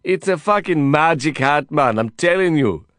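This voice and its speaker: A man voicing an exuberant, foul-mouthed, friendly Indian man with an Indian accent and excitable, slangy, cajoling speech in an audiobook. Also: a little high, normal speed, no room sound, studio quality, pitched above the performer's own voice.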